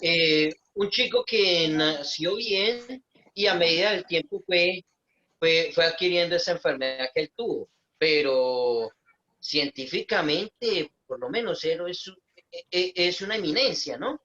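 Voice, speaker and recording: A man hosting an online conversation, speaking in a slow, thoughtful and hesitant way. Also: fairly high pitch, lively intonation, sharp tone, lo-fi sound. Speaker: host.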